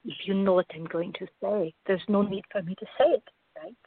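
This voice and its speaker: A woman playing a tender female lover in a radio drama, teasing softly in a husky voice. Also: mid-range voice, brisk, no echo. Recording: dry, thin phone-like band.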